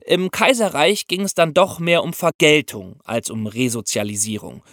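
A man's voice: fairly high pitch, normal speed, fairly bright tone, dry recording, clean studio sound. A man co-hosting a podcast for young listeners, explaining ideas step by step. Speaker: podcast co-host explaining law.

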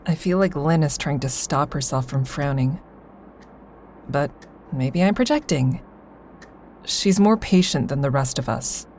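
A woman's voice: low voice, expressive, unhurried, long pauses, a little mellow, slight background noise. A woman playing a sardonic, anxious insomniac, confiding dryly as she narrates a first-person audio drama. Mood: wry, observant. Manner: wry, confiding, dry.

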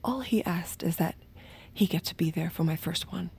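A seductive, submissive woman killer confides in an audio drama. Her voice is lowish, creaky and soft-edged, with a mellow tone. She speaks intimately, as if sharing a secret. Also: moderate pace, slight background noise. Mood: hushed, confiding. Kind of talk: intimate first-person narration and acted dialogue in audio drama.

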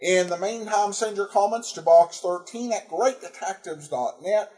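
A man hosting a podcast, speaking informally in a halting, hesitant way as he reads and answers listener feedback. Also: high-pitched, warm tone. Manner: halting, hesitant, breaks up phrases. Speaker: podcast host.

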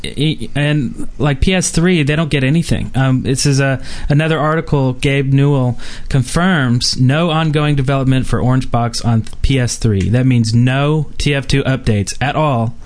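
A man hosting a casual gaming podcast. He rambles through the news, padding it with "um" and "uh" and restarting his sentences. His voice sits at a middle pitch for a man. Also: moderate pace, few pauses. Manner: rambling, many fillers and restarts. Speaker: podcast host.

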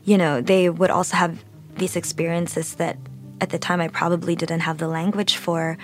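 A woman from the Philippines, speaking reflectively and thoughtfully in an interview, with halting pauses. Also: fairly low pitch, flat delivery, soft background music. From Philippines (Manila). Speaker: interview guest, photojournalist.

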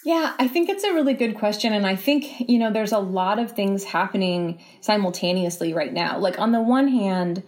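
A woman speaking as a guest in a podcast interview, reflective and explanatory, often pausing mid-phrase and softening points with casual qualifiers. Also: mid-range voice. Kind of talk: thoughtful podcast interview conversation.

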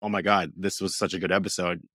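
A young man talks in a low voice. He is chatty and upbeat, and he drops in plenty of "like"s. The sound is dry and close. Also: level tone, fairly bright tone, breathy edge, no echo. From New York, USA. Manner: upbeat, chatty, quick with fillers like "like". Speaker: actor guest. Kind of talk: casual interview chat.

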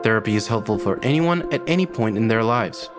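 A man's voice: fairly low pitch, animated, brisk. A man reading a scripted podcast sponsor message, with music behind him. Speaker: podcast ad reader.

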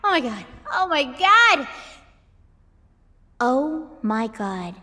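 An actress playing an unhinged, self-pitying diva in a melodramatic, indignant comic inner monologue. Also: female voice, high-pitched, very animated, very slow, pushed and bright, heavily acted. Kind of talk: comedic inner monologue in audio drama. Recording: long reverb, music underneath.

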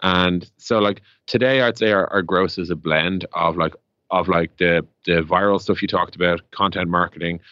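A man's voice: sits low, fairly even intonation, moderate pace, smooth, dry recording, lo-fi sound. An Irish man explaining business as an interview guest, rambling conversationally with lots of "like" and "you know". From Ireland. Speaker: interview guest, tech startup co-founder.

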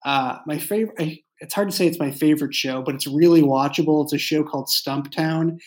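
A man in a casual behind-the-scenes discussion, talking as a writer and explaining things in a halting way, often backing up to rephrase. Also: fairly high pitch. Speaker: fiction podcast writer and creator.

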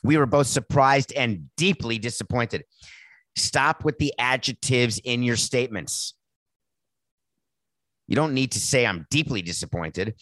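A man hosting a sports podcast, with a raspy voice and a sardonic, mocking way of talking. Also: medium pitch, unhurried, a bit bright, no room sound, low-quality recording. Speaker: podcast host. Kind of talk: sarcastic sports commentary.

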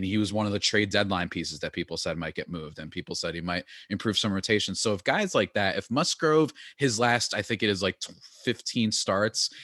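A man co-hosting a podcast in casual chat, animated and talkative, often laughing. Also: on the low side, no echo, studio quality. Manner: animated, chatty, laughs often, many "like" fillers. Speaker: podcast co-host.

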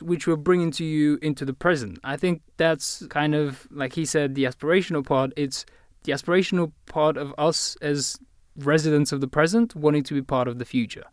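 Guest tech editor, man, British accent, crisp and a touch high, detailed explainer. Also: no room sound, studio quality. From Bulgaria. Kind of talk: podcast discussion.

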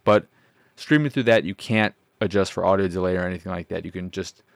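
A man with a deeper than average voice hosting a podcast, rambling casually and self-deprecatingly about his setup, swearing now and then. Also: a little fast, creaky, dry recording. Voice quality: deep voice. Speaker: podcast host. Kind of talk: casual solo podcast chat.

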